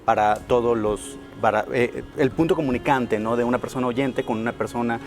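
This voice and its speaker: Mexican guy hosting a tv chat, average pitch, lots of eh and este, soft music in the back. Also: steady tone, fairly quick. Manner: conversational questioning with "eh" and "este" fillers and brief backchannels. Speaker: TV interview host. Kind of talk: TV interview.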